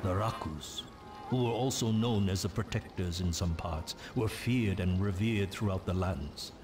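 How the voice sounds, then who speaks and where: Game narrator: low male voice, solemn and portentous, telling dark ancient legends over clear background music. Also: measured pace. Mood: grave, ominous. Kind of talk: dramatic scripted storytelling narration.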